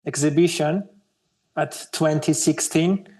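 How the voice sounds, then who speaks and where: A man in his thirties from Armenia, speaking English as a second language with an Armenian accent, slowly searching for words with many hesitations as an interview guest. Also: a little high, fairly even intonation, mellow. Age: 30s. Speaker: guest artist. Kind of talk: interview conversation.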